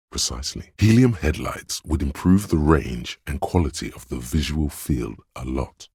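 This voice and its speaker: Very deep, gravelly analytical inner voice. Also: male voice, darkish tone, dry recording, studio quality, heavily acted. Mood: analytical, observant. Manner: deliberate, precise, literary. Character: inner voice of a detective's spatial reasoning, measured and analytical. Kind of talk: scripted game narration in second person.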